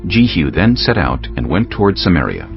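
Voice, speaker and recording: A man's voice: low voice, wildly swooping pitch, fairly quick, vocal fry. A man narrating a dramatized scripture audiobook in a storytelling, scripted style. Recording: slightly dull audio, music behind. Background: background music.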